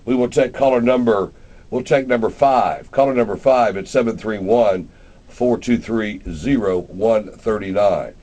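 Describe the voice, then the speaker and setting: A man's voice: lowish voice, measured pace, mellow. A man hosting a casual live radio interview in an informal, rambling, conversational way. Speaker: radio show host.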